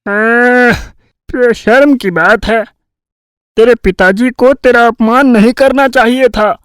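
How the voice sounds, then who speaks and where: A man's voice: extremely high pitch, steady tone, slow pace, a bit bright, clear, resonant tone, no echo, clean studio sound, exaggerated voice. A man voicing a boastful, scheming, lazy village thief in a Hindi cartoon tale, with a bragging, cajoling delivery. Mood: coaxing.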